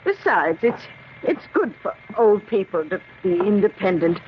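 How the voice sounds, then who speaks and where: A woman's voice: medium pitch, very animated, moderate pace, lightly acted. Elderly lady played by an actress, hesitant but proud, kindly radio-drama neighbour, dull hissy recording. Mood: proud, resigned. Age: older. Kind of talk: 1970s radio drama acting.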